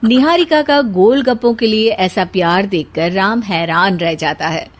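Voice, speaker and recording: A woman narrates a children's cartoon story. Her voice sits at a medium pitch, and she reads the scripted tale as a storyteller. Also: unhurried, background noise.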